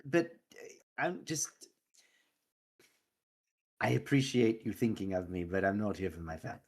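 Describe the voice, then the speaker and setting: A reserved, stiffly polite adventurer in a tabletop fantasy game, acted by a man. The voice is husky and varies widely in pitch, while the manner stays hesitant and guarded. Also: expressive, moderate pace, a little mellow.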